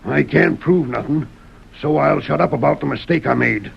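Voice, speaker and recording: Bitter, stubborn American frontier miner, very gravelly, slightly high voice, old radio drama. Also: male voice, moderate pace, exaggerated voice. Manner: grim, stubborn rustic talk. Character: desperate, bitter poor Western miner and family man. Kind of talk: 1930s radio Western acting. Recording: dull, noisy 1930s radio recording.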